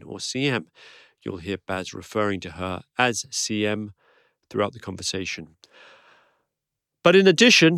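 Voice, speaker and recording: A man with a croaky voice hosting a podcast, introducing his guest from a studio with dry self-deprecating humor. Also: mid-range voice, a bit bright, dry recording. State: croaky voice from illness. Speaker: podcast host.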